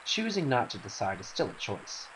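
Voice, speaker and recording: A man's voice: mid-range voice, huge pitch swings, moderate pace. A man voicing a stern, pragmatic woman in a dramatic game scene, firm and admonishing in manner. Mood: stern, matter-of-fact. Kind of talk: dramatic reading of game cutscene dialogue. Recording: faint hiss.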